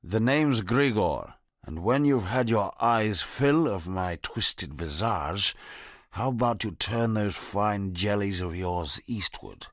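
An actor playing a bitter, disfigured male convict in a video game, talking in a low, brooding, sardonic way with a gravelly, husky voice. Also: expressive, takes it slowly, no room sound, full character voice. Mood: gruff wary. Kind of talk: game character voice lines. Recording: dry studio recording.

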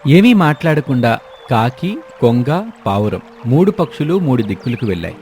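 A man's voice: medium pitch, big pitch swings, normal speed, a little mellow, faint music behind. A man narrating a scripted children's story as the storyteller.

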